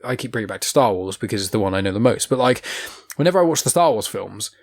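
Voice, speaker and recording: A young man hosting a podcast, rambling in animated casual chat and swearing now and then. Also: fairly low pitch, fast talker. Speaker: podcast host.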